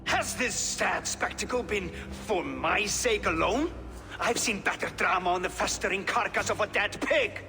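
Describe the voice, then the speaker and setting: A male actor voicing a bitter, vengeful giant builder with gravelly, theatrical scorn in a video game cutscene. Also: sits high, moderate pace, sharp tone. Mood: mocking, sardonic. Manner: scornful, theatrical, taunting delivery. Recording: long reverb with faint music behind.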